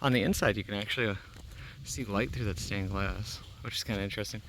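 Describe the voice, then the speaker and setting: A man's voice: lowish voice, quick pace, fairly bright tone, slight background noise. A young man touring an abandoned film set for his vlog, talking loosely with lots of fillers and casual swearing, recorded on a handheld camera outdoors and inside an empty building. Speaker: vlogger touring a site. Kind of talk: casual walk-and-talk vlog.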